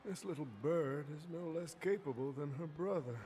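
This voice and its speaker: A man's voice: on the high side, moderate pace, heavy background noise, lightly acted. An actor voicing an amused, languid young man who drawls his words in a game cutscene. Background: game music.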